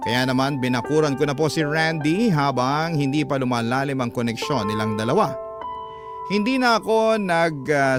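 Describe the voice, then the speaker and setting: A man hosting a radio love-story show, narrating a listener's letter in the first person in a confiding, conversational way. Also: medium pitch. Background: prominent background music.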